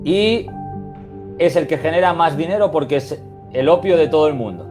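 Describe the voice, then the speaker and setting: A man's voice: a little high, animated, moderate pace, music in the background. A man with a Spain Spanish accent, a football coach answering interview questions over a video call, reflective and emphatic, with many restarts and "eh" fillers.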